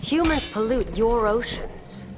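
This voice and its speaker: A woman's voice: a little high, lively intonation, slow, over music. A woman playing a scornful, haughty plant-loving villain in a video game.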